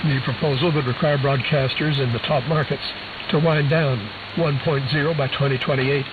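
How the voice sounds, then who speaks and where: A man's voice: mid-range voice, fairly even intonation, normal speed. Man reading news over hissy shortwave radio. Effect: shortwave radio transmission. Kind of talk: scripted news bulletin reading. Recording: hissy, phone-like shortwave radio reception, heavy static.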